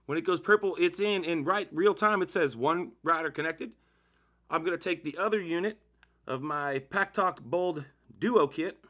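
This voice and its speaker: Bright-voiced man giving a tutorial. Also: a little high, normal speed. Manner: informal, step-by-step explaining. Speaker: tutorial host. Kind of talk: casual how-to demonstration narration.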